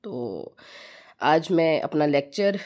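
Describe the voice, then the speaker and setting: A woman with a slightly hoarse throat, giving a chatty home tutorial for mothers that mixes in English words. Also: sits low, sing-song, slow pace, darkish tone. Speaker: home teaching channel host. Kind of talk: casual home tutorial talk.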